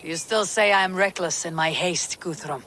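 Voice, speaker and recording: A woman's voice: lowish voice, moderate pace, heavy background noise, close to an everyday voice. An actress playing a bold Viking woman war leader in a video game, speaking with terse, unyielding confidence. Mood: confident. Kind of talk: video game drama acting.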